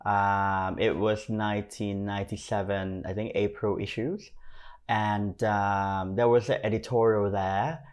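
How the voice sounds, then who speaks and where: A man's voice: on the low side, fairly even intonation, a little slow, clean tone. A man in his forties with a Thai accent, telling his own story conversationally as an interview guest. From Thailand. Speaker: podcast guest, fashion stylist and creative consultant.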